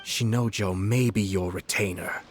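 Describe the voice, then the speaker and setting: Guy acting calm aristocratic young lord in anime game, formal and measured, faint noise behind. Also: on the low side, lightly acted. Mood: coolly firm. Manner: formal, measured, calmly authoritative. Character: composed, aristocratic young lord and government commissioner.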